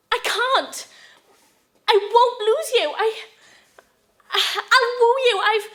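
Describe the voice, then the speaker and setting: A woman performing a stage monologue as a jilted, clingy wife, sarcastic and desperately in love. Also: sits high.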